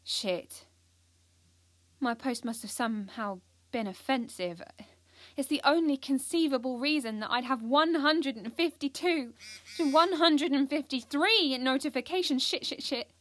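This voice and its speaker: A woman's voice: high-pitched, a little slow, a bit bright, no room sound. A young British woman playing an earnest, ambitious, anxious schoolgirl who confides her story in an audio drama. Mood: panicked. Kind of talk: first-person audio drama narration.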